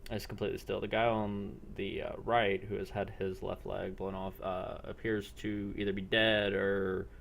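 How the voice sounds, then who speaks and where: Low, creaky-voiced man running a game. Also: flat delivery. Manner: halting, pausing between phrases. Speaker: game master. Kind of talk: improvised narration of a tabletop-style role-play.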